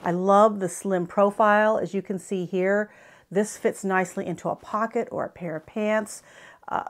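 A woman's voice: mid-range voice, steady tone, normal speed. A woman in her fifties with an American accent, chatting and explaining as she reviews travel products to camera. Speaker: travel gear vlogger. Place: small furnished living room, indoors.

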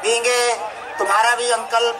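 Paternal man urging calm, flat and high. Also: unhurried. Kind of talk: blessing and appeal speech. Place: outdoor stage event. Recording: distant recording with crowd noise.

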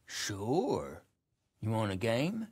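An actor playing an insistent, sly card player in a Western video game. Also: male voice, fairly low pitch, huge pitch swings, extremely slow, a little mellow, breathy edge, exaggerated voice. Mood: wheedling. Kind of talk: video game cutscene acting.

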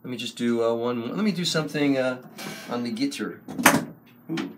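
An older man hosting a casual livestream from a small room, talking slowly into a close webcam, rambling with many ums and restarts. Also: mid-range voice, heavy background noise. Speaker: online service host and songwriter. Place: small room before a wooden wall, indoors. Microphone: webcam at close range.

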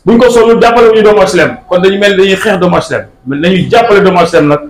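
An older man with a Senegalese accent making a fast, emphatic promotional appeal to camera in a small office. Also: high-pitched. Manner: emphatic, rising into quick excited bursts. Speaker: club supporter speaking to camera. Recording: echoey room with faint background noise.

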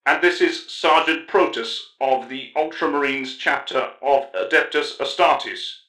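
A man's voice: mid-range voice, a little slow, bright, forceful tone, reverberant, voice pushed up, low-quality recording. A man voicing an aloof, precise cyborg tech-priest who speaks in formal, pedantic recitals. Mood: clinical.

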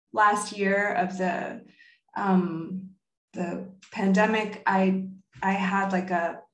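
A woman in her thirties, a poet speaking at a reading over a video call from a room at home. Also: medium pitch. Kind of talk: poetry reading with informal remarks. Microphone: computer mic on a video call. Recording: roomy, echoey video-call audio.

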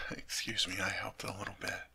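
A man playing a gravelly, flustered young king. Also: sits low, little pitch movement, normal speed, exaggerated voice. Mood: tender whisper. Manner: stammering, repeats words when flustered; soft tender whispering. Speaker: ASMR roleplay performer. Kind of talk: ASMR audio roleplay.

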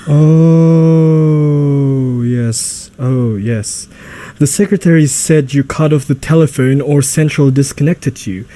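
Man acting a mellow, deferential butler. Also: mid-range voice, unhurried, close to an everyday voice. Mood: deferential, hesitant. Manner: formal, polite, hesitant. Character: deferential, hesitant household butler. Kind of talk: radio drama acting.

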